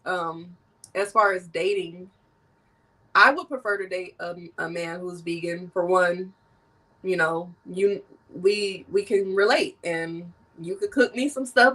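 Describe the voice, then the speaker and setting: Woman host with a cold, nasal, lively and laughing, heard a little off-mic. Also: medium pitch, a little slow, distant mic. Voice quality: animated and laughing often. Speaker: podcast host. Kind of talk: casual conversation.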